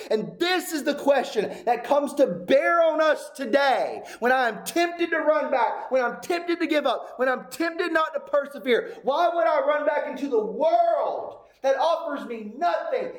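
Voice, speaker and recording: Male preacher, high pitch, urgent sermon delivery with rhetorical questions. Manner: preaching with rising intensity, repetitive rhetorical questions. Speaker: pastor.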